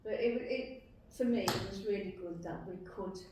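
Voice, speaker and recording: A woman's voice: medium pitch, normal speed. A woman talking casually in a group discussion, as a member prompting feedback. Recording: very echoey room, distant mic, faint noise.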